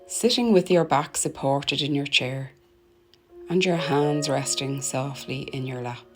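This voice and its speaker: A woman narrating a guided meditation in a soothing, gentle, deliberate manner, letting her phrases end softly. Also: rumbling low. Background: meditation music.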